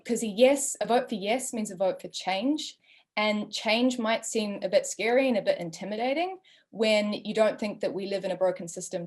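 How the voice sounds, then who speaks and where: Young Kiwi woman, panel host, earnest and chatty, accent clearly New Zealand. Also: medium pitch, a little mellow. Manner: earnest, frequent ums. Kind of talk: webinar introduction and opinion.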